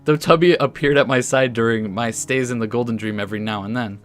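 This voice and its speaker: A man's voice: mid-range voice, fast talker. A man hosting a gaming video, mixing casual commentary with reading story text aloud and stumbling over the odd word. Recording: music under the voice.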